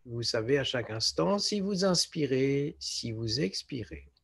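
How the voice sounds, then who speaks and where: A man guiding a relaxation meditation as a yoga nidra teacher, speaking in a calm, measured way with short phrases and pauses. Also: medium pitch, creaky, slightly rough. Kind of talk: guided relaxation meditation instruction.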